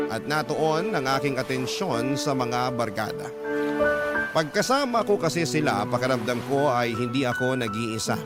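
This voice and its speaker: A man's voice: mid-range voice, lightly acted. A man with a Filipino accent reading a confessional letter as dramatic scripted radio narration. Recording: loud music under the voice.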